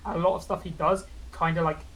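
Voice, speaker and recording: A man's voice: a little high, steady tone, fairly quick, a bit bright, a little hiss or hum, poor audio. A young British man with a regional accent, a VTuber guest chatting casually and rambling with lots of fillers. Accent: British, non-London regional. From Britain. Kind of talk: casual interview chat.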